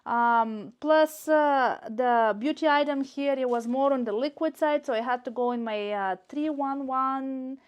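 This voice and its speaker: A woman's voice: high voice, unhurried, few pauses, smooth, highs cut off. A woman with a Bulgarian accent, native in Bulgarian, chatting casually to camera as a shopping vlogger, gushing and repeating words for emphasis. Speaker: YouTube shopping and unboxing vlogger.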